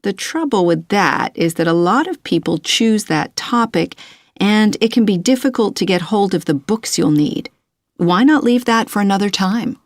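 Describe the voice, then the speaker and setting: A woman playing a courteous, methodical university tutor in a scripted listening-test dialogue, measured and encouraging in her advice. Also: mid-range voice, animated, no echo, studio quality, close to an everyday voice. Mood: courteous, advisory.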